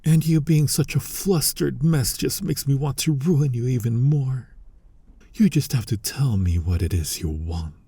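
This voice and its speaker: Husky, slow-talking flirty incubus demon played by a man. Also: medium pitch, mellow, no echo. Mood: predatory, teasing. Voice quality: husky, breathy, often dropping to a whisper. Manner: slow, sultry, teasing, addressing listener directly. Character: flirty, teasing, dominant male incubus demon seducer. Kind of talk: intimate ASMR roleplay. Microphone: close mic, dry.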